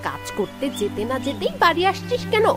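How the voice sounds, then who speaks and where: A woman's voice: high voice, very animated, normal speed, music in the background. A woman voicing a cruel village aunt in a children's cartoon, scolding sharply and sarcastically. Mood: harsh, threatening. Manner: harsh, sarcastic, shouting scolding.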